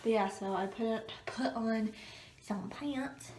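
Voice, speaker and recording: A woman's voice: fairly high pitch, slow, a little mellow, slight background noise. A young woman vlogging casually to her phone camera in a bathroom, rambling with lots of ums and likes, a bit stuffy and congested. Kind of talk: casual talk to camera while getting ready. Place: home bathroom.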